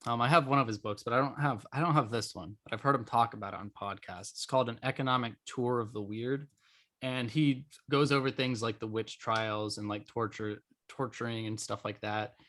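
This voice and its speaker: A man's voice: mid-range voice, fairly quick, a bit bright. A man hosting a podcast, talking in a casual, loosely phrased conversational way. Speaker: podcast host.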